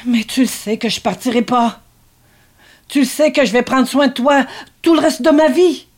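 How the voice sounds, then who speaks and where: A woman's voice: high-pitched, normal speed, darkish tone. A woman playing a needy, sardonic grown son devoted to his mother, in a dramatic script reading. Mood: bitter, pleading.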